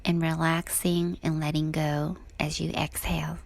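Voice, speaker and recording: A woman with a soft, breathy voice guides a body scan meditation in a calm, gentle, unhurried manner. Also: low voice, no echo.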